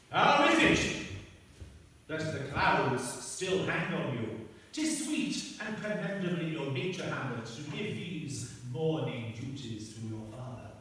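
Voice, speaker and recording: A man's voice: fairly high pitch, a bit bright, far from the mic, a little hiss or hum. A man playing a bossy, scheming king. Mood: grandiose. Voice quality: theatrical, bossy. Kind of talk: amateur comic radio play. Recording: echoey hall, recorded from afar.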